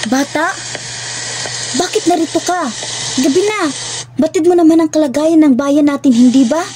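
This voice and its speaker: A woman's voice: sits high, moderate pace, background noise, lightly acted. A woman playing a brave, caring young activist in an earnest, dramatic amateur radio drama. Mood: alarmed, searching. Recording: faint music behind the voice.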